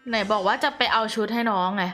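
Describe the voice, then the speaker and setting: A woman's voice: mid-range voice, fairly even intonation, racing, fairly bright tone, faint music behind. A woman from Thailand chatting casually as she streams a game, reacting in a playful, exclamatory way. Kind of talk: casual live-stream reaction chat in Thai.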